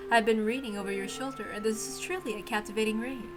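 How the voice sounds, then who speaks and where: A woman's voice: on the high side, fast, voice pushed down, natural voice. A woman voicing an ancient witch spirit, composed and slyly amused, in a visual novel read-aloud with music. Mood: amused, smug.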